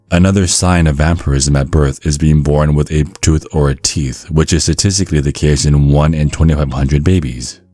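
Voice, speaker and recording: A man narrating a scripted ASMR story in a measured, deliberate, deadpan way, close to the microphone. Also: very deep voice, dry recording. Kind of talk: scripted ASMR narration of a dark-comedy guide. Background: faint music.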